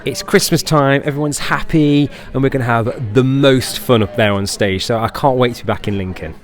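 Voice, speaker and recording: A man's voice: mid-range voice, normal speed. A man chatting playfully in an interview as a pantomime actor, with a camp, effusive manner full of endearments. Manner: camp, effusive, calls people darling and babes.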